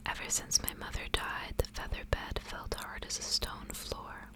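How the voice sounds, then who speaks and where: Whispering woman reading a story, ASMR style. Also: rough recording. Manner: hushed, unvoiced whispering throughout. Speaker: ASMR reader.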